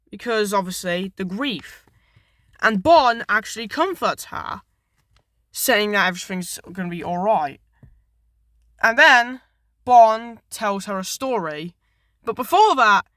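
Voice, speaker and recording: A woman's voice: medium pitch, a bit bright, dry recording, studio quality. A woman giving casual, unscripted commentary as a video host, rambling through a retelling with enthusiasm and frequently correcting herself. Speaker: video host reviewing a horror series.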